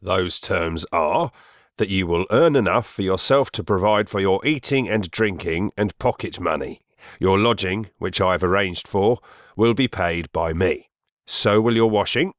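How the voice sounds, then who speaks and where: Man playing deep voice, stern middle-aged stepfather, domineering, pompous, deliberate, close dry sound, classic-novel audio drama. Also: dry recording. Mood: cold, brisk.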